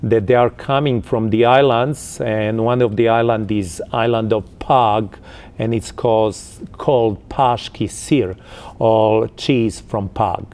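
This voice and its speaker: A man in his fifties from Croatia with a Croatian accent, answering interview questions in a halting way with many fillers. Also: fairly low pitch, steady tone, a little mellow. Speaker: interview guest.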